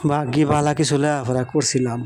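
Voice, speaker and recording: A man's voice: medium pitch, level tone, fast talker, darkish tone, soft background music, lightly acted. A man with a rural Bangladeshi accent playing a sympathetic, reflective boss in a cartoon, talking conversationally. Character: sympathetic male boss recalling his hard past. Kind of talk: cartoon dialogue.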